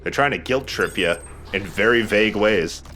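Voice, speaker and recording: A man's voice: lowish voice, expressive, normal speed, bright, forceful tone, slight background noise. A man hosting a let's play, joking and swearing in casual banter as he comments on his game. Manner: joking, profane.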